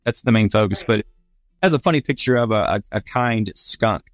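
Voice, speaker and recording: Lowish-voiced informal male interviewer. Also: a little fast, no room sound. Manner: informal, appreciative. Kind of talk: conversational interview questions and remarks.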